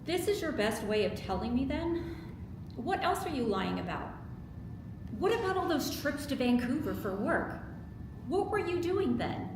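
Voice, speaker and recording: A woman playing a distraught, angry wife confronting her lying husband in a screenplay table read, emotional and halting. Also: high-pitched, sing-song, exaggerated voice. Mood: incredulous anger. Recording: echoey room with clear background noise.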